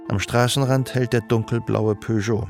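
An actor playing the sober narrator of a melancholy love story in a literary radio play. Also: male voice, mid-range voice, steady tone, moderate pace, darkish tone, soft background music, lightly acted. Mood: reflective, sober.

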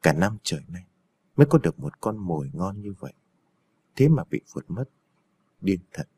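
Storybook villain, played by a male narrator: lecherous gang boss, husky, low, menacing. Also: sing-song, moderate pace, warm tone, no room sound, exaggerated voice. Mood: gloating, sullen. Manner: gloating, menacing, coarse commands.

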